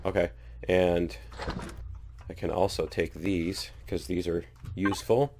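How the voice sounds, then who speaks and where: A man with a very low voice, a bit under the weather with a cold, talks his way through a video game in a relaxed, chatty way. He pokes fun at himself as he plays. Steady hiss and game noise sit behind his voice. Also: unhurried, vocal fry. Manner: chatty, self-deprecating, laughs at own mistakes. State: has a cold. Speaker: gaming YouTuber. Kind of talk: casual let's-play commentary.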